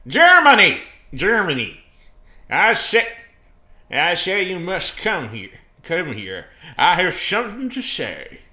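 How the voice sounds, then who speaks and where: A man voicing a dramatic, deceitful American man in a story, declaiming in a drawn-out, bombastic way. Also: on the high side, wildly swooping pitch, pushed and bright, voice pushed up, exaggerated voice. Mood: loud, commanding. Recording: roomy, echoing sound.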